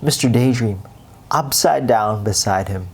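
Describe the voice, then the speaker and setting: A woman's voice: a bass voice, measured pace, a little mellow, husky. A woman reading a children's bedtime story aloud as storyteller.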